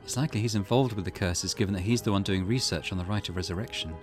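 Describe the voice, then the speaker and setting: Man reading as a calm, analytical investigator. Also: sits low, faint music behind, voice pushed down, natural voice. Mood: serious, measured. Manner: measured, analytical.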